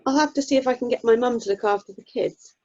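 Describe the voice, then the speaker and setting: Casting: actress as sensible, kindly young mum for radio comedy, soft dark tone, chatty, slightly muffled recording. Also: female voice, a little high, quick pace, close to an everyday voice. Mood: friendly, chatty. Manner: chatty, gently teasing. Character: friendly, sensible young mother selling raffle tickets. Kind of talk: amateur radio play acting. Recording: dull, lo-fi video call sound.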